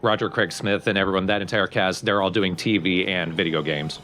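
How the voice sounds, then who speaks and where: A man's voice: low voice, steady tone, fairly quick, pushed and bright. A man giving casual, opinionated commentary on a video game among friends, explaining what he thinks of it. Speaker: let's play co-commentator. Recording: game music behind the voice. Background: video game music.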